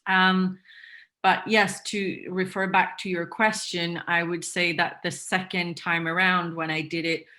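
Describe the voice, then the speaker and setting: A woman's voice: on the low side, little pitch movement, measured pace, bright, forceful tone. A woman from Sweden, not a native English speaker, chatting as an interview guest with many fillers and restarts. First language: Swedish. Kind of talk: casual interview chat.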